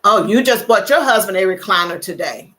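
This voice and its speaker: A woman's voice: mid-range voice, animated, brisk, fairly bright tone. An older woman hosting a casual livestream, chatting with viewers, laughing often and repeating her phrases. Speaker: livestream host. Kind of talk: casual live chat reading viewer comments.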